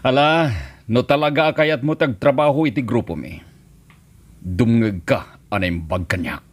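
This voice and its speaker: A man playing a self-assured gang boss in a radio drama, measured and questioning. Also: mid-range voice. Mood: commanding. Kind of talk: Ilocano radio drama acting. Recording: music playing under the voice. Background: music.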